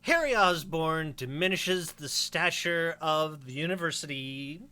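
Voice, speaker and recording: A bright, lively voice of a drunk young college man, ranting grandly against the world with a slurred, swooping delivery. The talk is slow and dragging, the tone sharp and animated, like a radio play scene. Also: mid-range voice. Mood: drunk rambling. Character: drunk young male college student, rambling and rebellious. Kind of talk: radio play script reading.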